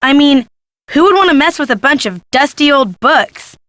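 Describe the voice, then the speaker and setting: Game role: young woman photojournalist, nosy and sardonic, forceful bright tone, close dry sound. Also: high voice, expressive, moderate pace, no room sound. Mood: chatty, sly. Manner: gossipy, sardonic, dramatic emphasis. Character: gossipy, snarky young female photojournalist snooping for scandal. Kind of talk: video game character dialogue.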